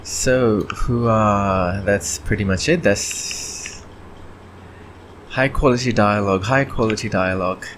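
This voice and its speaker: A man chatting casually and haltingly as he thinks aloud while working on his indie game devlog. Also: lowish voice, fairly bright tone, a little hiss or hum. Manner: halting, often clearing throat.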